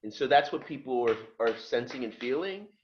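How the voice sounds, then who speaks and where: A man's voice: medium pitch, brisk, highs cut off, slight background noise. A middle-aged man from just outside Philadelphia, a podcast guest telling his life story in casual chat and acting out the voices of the people in it. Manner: lively storyteller who acts out quoted voices.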